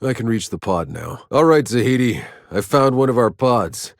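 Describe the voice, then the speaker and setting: A man playing a gruff, wry, battle-worn soldier in a sci-fi video game. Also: mid-range voice, expressive, moderate pace, no room sound, studio quality, lightly acted. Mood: wry, upbeat. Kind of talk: video game voice lines, dramatic acting.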